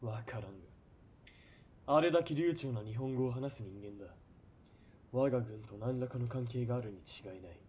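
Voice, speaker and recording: A man playing a ruthless, greedy military police commander in a period radio drama, domineering and sneering, moving between cold murmurs and shouting. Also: medium pitch, normal speed, long pauses, slightly rough, close to an everyday voice. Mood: cold, calculating.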